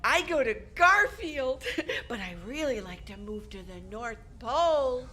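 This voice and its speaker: A woman's voice: sits high, very animated, unhurried, sharp tone, a little hiss or hum, exaggerated voice. A woman playing an eager, giggly five-year-old girl in an old-time radio drama. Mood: giggly, excited.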